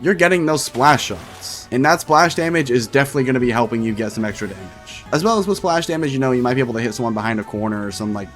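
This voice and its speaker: Gaming YouTuber, male, rapid chatty delivery, voice roughed up, faint music under. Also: medium pitch. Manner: fast, chatty, full of fillers like "you know". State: voice sounds strained and rough. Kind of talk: casual gameplay commentary.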